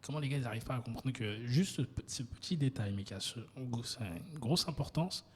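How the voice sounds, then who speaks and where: A man from Ivory Coast hosting a casual interview podcast, animated and emphatic, often repeating himself. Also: mid-range voice, fairly quick, husky. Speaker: podcast host. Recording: long hall reverb.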